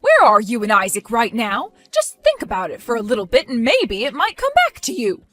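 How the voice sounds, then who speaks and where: A woman voicing an indignant older woman who scolds and accuses in a lively, high voice. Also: a little fast, dry recording, soft background music, exaggerated voice. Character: furious indignant older woman caring for a baby.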